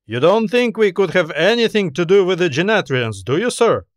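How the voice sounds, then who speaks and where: Audiobook part: male reader as young military messenger, higher pitch, earnest, eager, slightly condescending, dry sound. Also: sing-song, normal speed, no echo. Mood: baffled. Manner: cheerful, eager, indignant and condescending.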